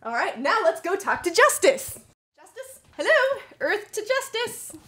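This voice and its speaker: Animated young woman hosting, high voice. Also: measured pace. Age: young adult. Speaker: host interviewing colleagues. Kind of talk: light scripted promotional interview. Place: rooms of a house and a barn. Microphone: boom mic held near.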